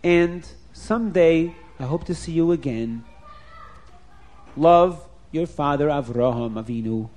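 Man playing a gentle old father in a told story, soft-spoken and plain. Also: a little high, a little slow, close to an everyday voice.

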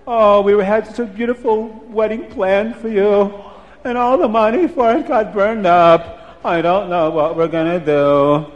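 A man voicing a grieving poor father who moans and laments loudly. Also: high voice, a little slow, big-room sound, muffled recording. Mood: lamenting. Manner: loud, lamenting, sing-song sighs.

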